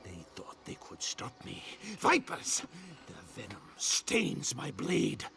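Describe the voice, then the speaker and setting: Crazed hostile brute, slow, gravelly, wildly swooping, menacing, game villain. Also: male voice, mid-range voice, huge pitch swings, fairly bright tone, heavily acted. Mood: bitter raving. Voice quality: gravelly, strained. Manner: raving, menacing, theatrical. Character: big deranged, hostile, plague-maddened man. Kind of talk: video game character acting.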